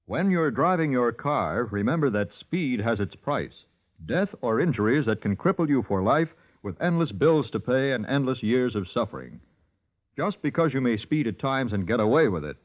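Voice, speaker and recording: A man reading scripted announcements as a 1950s radio announcer. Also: medium pitch. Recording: tinny muffled 1950s radio recording.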